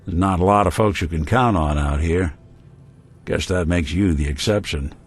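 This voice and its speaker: Low-voiced, wry American robot detective played by a man. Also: normal speed, a little hiss or hum, natural voice. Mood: sincere, musing. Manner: wry, deadpan, world-weary wisecracks. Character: weary wry robot private detective, middle-aged male, good-hearted. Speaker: companion character in a video game. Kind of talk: video game voice acting, noir detective.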